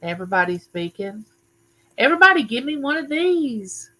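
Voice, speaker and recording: Older woman, livestream host; loud, bright, sharp-edged voice, chatty and welcoming by name. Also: on the high side, sing-song, normal speed. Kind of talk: casual live chat with viewers. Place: home room used for filming.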